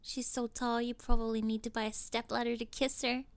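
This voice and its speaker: A woman's voice: a little high, level tone, a little fast, clear, resonant tone, dry recording, lightly acted. A woman voicing a catty, spoiled teenage cheerleader with a scornful, sarcastic manner in an audio roleplay. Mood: outraged, contemptuous. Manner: scornful, sarcastic, laughing derisively. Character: snobby mean popular teenage cheerleader girl, spoiled and catty. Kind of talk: ASMR roleplay acting.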